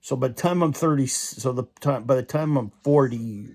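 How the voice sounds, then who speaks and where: A man's voice: medium pitch, moderate pace, gravelly, darkish tone. Rambling young man ranting. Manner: rambling, with many restarts and repeated "so". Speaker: vlogger. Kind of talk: casual opinion rant.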